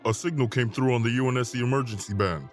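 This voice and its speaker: A man playing an alert Marine rifleman in a science fiction audio drama, with a forceful military delivery. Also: mid-range voice, quick pace, faint music behind, lightly acted.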